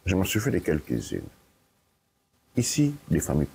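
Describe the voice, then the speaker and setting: A man's voice: sits low, huge pitch swings, normal speed, creaky, darkish tone, slightly rough. A man giving lecturing interview answers, emphatic and repetitive, often checking with "ok?". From Italy. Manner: emphatic, repeats his points, checks with "ok?". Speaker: guest speaker.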